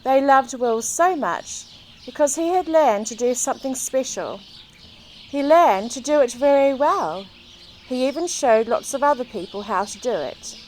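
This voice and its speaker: A high-pitched woman narrates a children's storybook at an unhurried pace. Her delivery is lively and varied, as if speaking to small children. Birdsong and noticeable outdoor noise sit behind her voice. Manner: expressive, addressing young listeners. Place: outdoors in a garden. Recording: outdoor recording with clear background noise, birdsong.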